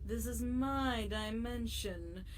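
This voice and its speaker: A woman's voice: on the high side, slow pace, far from the mic, slight background noise. A woman playing a haughty, threatening villainess in an audio drama, theatrical and condescending, over music. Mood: menacing, smug. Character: haughty, threatening villainess holding prisoners in her dream maze. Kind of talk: fan audio drama villain monologue, sometimes jazzy singing.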